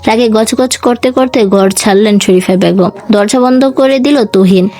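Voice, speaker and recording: A woman narrates a dramatic novel as a scripted voiceover. Her voice is on the high side. Music plays underneath the storytelling. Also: normal speed, warm tone.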